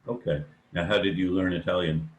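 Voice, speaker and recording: Deep-voiced professor lecturing slowly. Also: male voice, steady tone, slight background noise, rough recording. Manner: unhurried, thinking aloud with many ums. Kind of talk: online class lecture.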